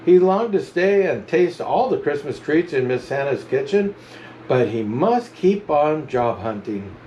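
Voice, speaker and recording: Man, storybook read-aloud, animated narration, lively pitch, dull lo-fi sound, faint background noise. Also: measured pace. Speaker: storyteller dressed as Santa. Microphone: camera mic.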